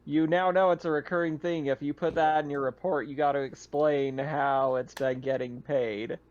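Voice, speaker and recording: A man running a tabletop roleplaying game as game master, conversational and halting as he thinks aloud. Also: on the high side, fairly even intonation, a little mellow, highs cut off.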